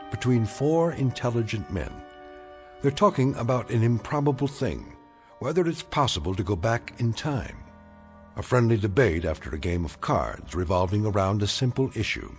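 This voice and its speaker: Grave low, swooping mystery narrator. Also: male voice. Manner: deliberate, ominous, suspenseful. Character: grave, knowing male narrator of eerie tales. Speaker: narrator of a radio anthology drama. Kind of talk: dramatic scripted narration.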